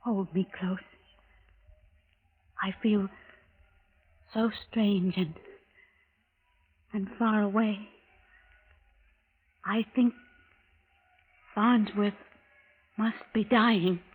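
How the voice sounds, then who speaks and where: An actress playing a calm, devoted assassin from the future, speaking in a measured, formal way on a muffled old radio recording. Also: female voice, medium pitch, husky. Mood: resigned, tender. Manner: measured, formal, without contractions. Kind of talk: 1940s radio drama acting.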